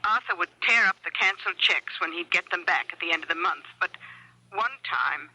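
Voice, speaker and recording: An actress playing a distraught small-town wife in a 1970s radio drama, fretful, hesitant and emotional. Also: female voice, on the low side, expressive, brisk, pushed and bright, husky, full character voice. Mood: nervous, confiding. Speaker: actor. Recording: dull old radio broadcast recording.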